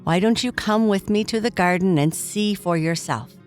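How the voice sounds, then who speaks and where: A woman voicing an earnest, excitable young girl who pleads with her mother in a children's bedtime story. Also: mid-range voice, normal speed, faint music behind, lightly acted. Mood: insistent.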